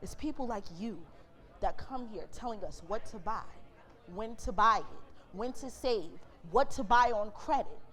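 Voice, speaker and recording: A woman's voice: fairly high pitch, lively intonation, normal speed, a bit bright. A woman in a staged reading playing an outspoken, principled factory manager with a blunt, exasperated way of talking.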